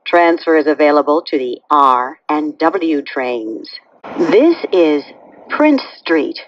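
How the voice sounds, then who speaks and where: A woman reading scripted automated train announcements in measured, segmented phrases. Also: sits low, animated, lo-fi sound.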